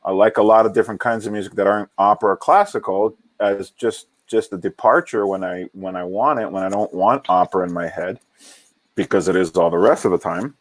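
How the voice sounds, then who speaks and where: A man from Hawaii, an opera singer, talking reflectively in an interview with many halting restarts and repeated words. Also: lowish voice. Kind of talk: reflective casual interview talk.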